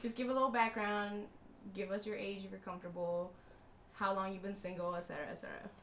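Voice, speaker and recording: Female podcast host, bright edge, blunt and loose. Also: medium pitch, steady tone, quick pace, a little hiss or hum. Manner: blunt, swears freely, laughs often. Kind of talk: casual podcast chat.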